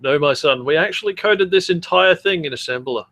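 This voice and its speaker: A man's voice: fairly high pitch, a little fast, bright, forceful tone. An Australian man co-hosting a podcast in casual chat, blunt and joking, swearing freely. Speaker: podcast co-host.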